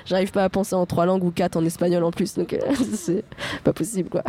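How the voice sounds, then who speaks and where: Young woman singer from france, soft mellow tone, lots of euh and restarts. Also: on the low side, steady tone, fast talker. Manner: hesitant, many fillers and restarts. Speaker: singer-songwriter guest. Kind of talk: radio interview answers.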